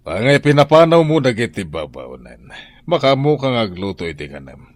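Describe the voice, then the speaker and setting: A man's voice: mid-range voice, very animated, normal speed, dry recording, natural voice. A man playing a blunt, exasperated husband quarrelling in an Ilocano radio drama. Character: Hubert, aggrieved husband scolding his wife.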